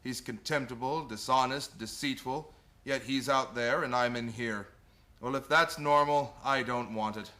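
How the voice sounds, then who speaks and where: A man plays an old Santa Claus figure in a stage-style radio play. He sounds bright and forceful at a middling pitch. He is kindly, earnest and old-fashioned, with some fight in him. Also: measured pace. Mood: hurt, downcast.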